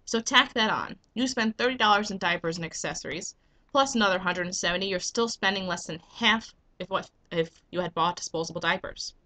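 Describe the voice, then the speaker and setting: Young woman w a cold, bright sharp voice, pushy rhetorical vlog pitch into her webcam. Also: brisk. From United States. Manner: earnest, emphatic, rhetorical questions. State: getting a cold. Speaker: vlogger and business owner. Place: small indoor room at home. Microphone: webcam at desk.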